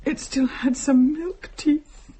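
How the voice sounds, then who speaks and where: A woman's voice: high-pitched, steady tone, slow pace, warm tone, low-quality recording. An actress playing a frightened, insistent woman in a radio horror play, speaking in a haunted, adamant way. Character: frightened, insistent woman who saw an apparition.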